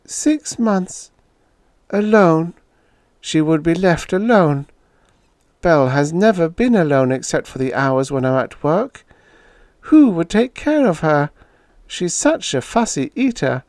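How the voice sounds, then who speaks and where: A man reading an audiobook puts on a frightened, lonely woman close to tears. Her voice leaps high and loud as she pleads and cries out. The sound is dry and close. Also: expressive, slow, no room sound. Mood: tearful. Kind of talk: audiobook character voice.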